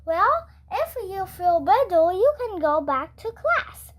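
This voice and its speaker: A storybook school nurse, as a young-sounding reader acts her out, pitched extremely high and swooping widely. She is brisk, chirpy and bossy, giving instructions with sing-song emphasis. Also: very animated, heavily acted. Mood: kindly.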